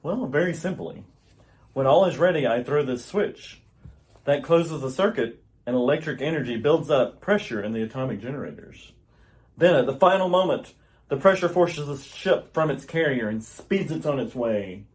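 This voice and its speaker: A man's voice: medium pitch, expressive, normal speed, a little mellow, natural voice. A man playing an earnest, urgent alien scientist, husband and father, with grave, theatrical delivery in old-style radio theater. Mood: tender, earnest. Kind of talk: retro radio theater acting.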